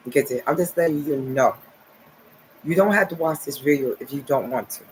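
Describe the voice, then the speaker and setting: A man's voice: a little high, moderate pace, slight background noise, low-quality recording. A man in his forties from New Jersey, talking to his viewers in a rambling, repetitive monologue full of "okay" and "nice". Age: 40s. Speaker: vlogger.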